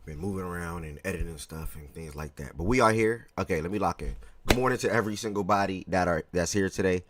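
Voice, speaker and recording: A man hosting a live sports talk stream, chatty and relaxed, greeting viewers by name, his voice a little hoarse. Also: low-pitched, fast, noisy background. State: slightly hoarse from yelling the day before. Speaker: live show host.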